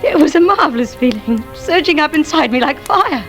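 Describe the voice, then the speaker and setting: Haunted, vengeful wife in vintage radio drama. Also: female voice, sits high, expressive, a bit bright. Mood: feverish, exultant. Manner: dramatic, halting confessional delivery. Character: embittered wife feigning madness, intense and vengeful. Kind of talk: 1940s radio suspense acting. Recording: vintage radio recording with music.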